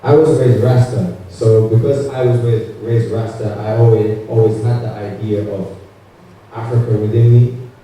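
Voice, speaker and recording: A man from Barbados, raised in the UK, answering a question on a conference panel in an earnest, halting way with many fillers. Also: lowish voice, steady tone, dark tone, slight background noise. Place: large conference hall. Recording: echoey hall heard from far off.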